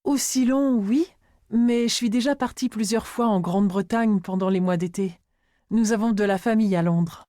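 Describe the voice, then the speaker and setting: A woman's voice: medium pitch, moderate pace, warm tone, dry recording, clean studio sound, natural voice. A woman playing a confident young woman giving scripted answers in an interview. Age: young adult.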